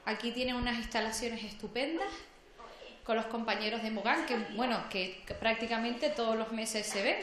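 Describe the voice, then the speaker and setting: A woman's voice: a little high, moderate pace, noisy background. A middle-aged woman giving an informal speech to an audience. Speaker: speaker at a community event. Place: medium-sized indoor hall. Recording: echoey room, audience sounds.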